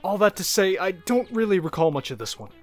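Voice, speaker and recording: A man voicing a haunted narrator who looks back on disturbing childhood memories in a first-person horror story, halting and reflective, like a confession. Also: high voice, very animated, pitched above the performer's own voice. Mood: dismissive, reminiscing. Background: music.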